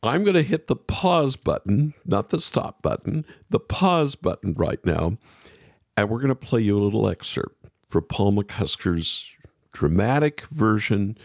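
A man's voice: lowish voice, very animated, a little slow, no echo, studio quality. A man hosting a radio interview, speaking in an emphatic, effusive way. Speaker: radio show host.

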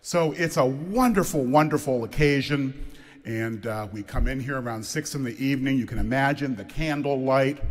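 A man serving as head of school gives an informal sermon to a church congregation, chatty and joking with people by name. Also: mid-range voice. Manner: warm, chatty, jokes with the audience by name. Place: large church sanctuary. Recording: long reverb of a church hall.

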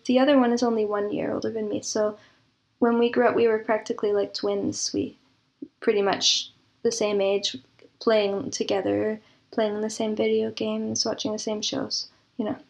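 A woman with a fairly high voice talks as a guest in a casual interview. She reminisces in a thoughtful, measured way, pausing as she puts her answers together. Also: creaky. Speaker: interview guest, composer and audio drama producer.

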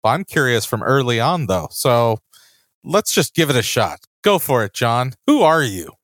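A man hosts a casual interview and draws out his guest with friendly, curious questions. The recording is dry and close, with no room sound. His pitch is in the middle range. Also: big pitch swings, moderate pace, fairly bright tone, no echo. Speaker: podcast host.

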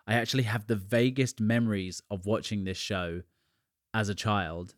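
British podcast host, low voice, dry close sound, chatty and jokey. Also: male voice, fairly even intonation, normal speed, no room sound. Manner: chatty and conversational, with asides and little jokes. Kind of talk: casual solo podcast monologue.

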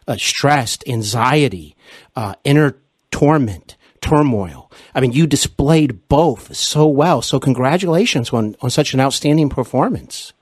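A man's voice: medium pitch, animated, measured pace, husky, no room sound. Effusive male interviewer, dry sound. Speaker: interview show host. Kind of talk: casual interview conversation.

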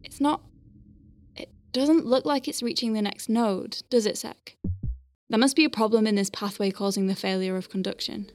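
A woman playing a flustered but awestruck space medic in an audio drama, chatty, nervy and expressive as she talks through her work. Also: medium pitch, moderate pace, a little hiss or hum, lightly acted. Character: young female space medic Faye, flustered but awestruck, working inside star whale. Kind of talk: audio drama acting with first-person narration.

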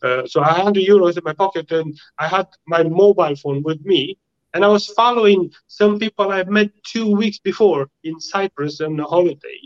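A man from Romania speaks with a Romanian accent, his voice sitting a touch higher than most men's. He talks in a halting, searching way, often repeating words before a thought lands. The sound is a little dull and lo-fi. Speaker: guest. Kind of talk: casual interview conversation.

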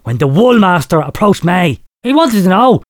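A man's voice: sits high, moderate pace, no echo, clean studio sound. A man hosting a comedy podcast, reading out a listener letter with mock drama and frequent laughter. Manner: laughs often while reading, lively mock storytelling. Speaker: podcast host.

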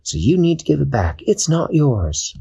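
Dashi, a man doing a squeaky, high young heroine. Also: very animated, normal speed, gravelly, warm tone, breathy edge, lo-fi sound, exaggerated voice. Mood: determined. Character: eager young female sea explorer.

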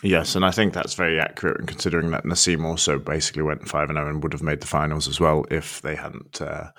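Very deep-voiced male podcast host. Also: a bit bright, dry recording, clean studio sound. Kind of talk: podcast interview.

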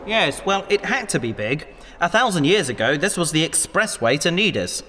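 A man's voice: a little high, very animated, moderate pace, background noise. A man playing a patient, earnest and squeamish scientist who speaks politely and precisely in a sci-fi audio drama. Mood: pleased, instructive. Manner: polite, precise, gently admonishing. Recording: long hall-like reverb.

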